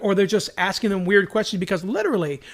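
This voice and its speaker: A man's voice: high voice, animated, fast talker. A man co-hosting a casual podcast chat, talking in an enthusiastic, slangy, self-deprecating way. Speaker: podcast co-host. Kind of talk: casual chat about superhero shows.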